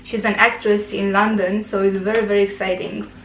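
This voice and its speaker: A woman's voice: mid-range voice, level tone, brisk. A young woman with a Romanian accent hosts a casual interview in a mid-range voice. She keeps a friendly, easy flow and fills the gaps with mm-hmms. The room is echoey, with faint noise underneath. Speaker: host, YouTuber.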